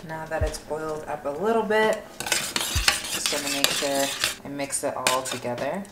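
Young woman, low voice, casual cooking vlogger, informal step-by-step narration, echoey kitchen with clattering noise. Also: fairly even intonation, a little slow. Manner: informal, narrating each step while working. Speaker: vlogger demonstrating a recipe. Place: home kitchen, indoors.

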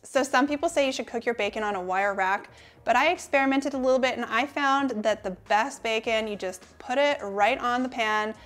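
A woman's voice: fairly high pitch, fairly quick, a bit bright, faint music behind. A woman in her thirties hosting a cooking channel, talking through kitchen tips to camera in an upbeat, friendly way from her home kitchen.

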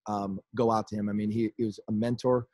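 Chicago-area American head coach, man in his forties, low monotone, halting, dry webcam sound. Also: no echo. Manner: halting, many restarts and fillers. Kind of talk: postgame press conference. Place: indoors in front of a team backdrop. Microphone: video call webcam.

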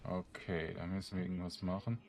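A man's voice: low voice, little pitch movement, a little fast, darkish tone, slight background noise. A man giving casual let's-play commentary, grumbling in exasperation and muttering to himself as he plays. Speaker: video game streamer.

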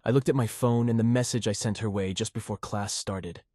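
Man playing a low-voiced, awkward teenage boy. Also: fairly even intonation, a little fast, dark tone, dry recording, clean studio sound, lightly acted. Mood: wry narrating. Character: awkward, wry teenage boy narrating his school day. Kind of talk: visual novel narration and dialogue.

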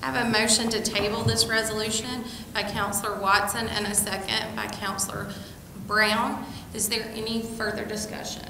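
A woman's voice: mid-range voice, moderate pace. Woman clerk in an echoey council chamber. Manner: steady, procedural, with frequent ums. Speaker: city clerk. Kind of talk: meeting procedure and budget presentation. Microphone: desk mic. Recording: echoey chamber with long reverb, room noise.

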